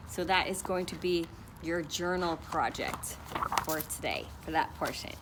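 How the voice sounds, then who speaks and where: Slow, patient teacher woman. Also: deep voice, very noisy. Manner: slow, sing-song, patient. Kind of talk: online morning meeting for young children.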